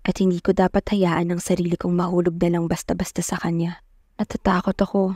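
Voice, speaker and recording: A woman's voice: lowish voice, little pitch movement, a little fast, dark tone, no echo, studio quality, lightly acted. An actress playing a poor, determined, lovestruck young provincial woman who narrates her own story earnestly and introspectively. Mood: torn, fearful. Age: young adult. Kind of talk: scripted first-person dramatic narration. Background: music.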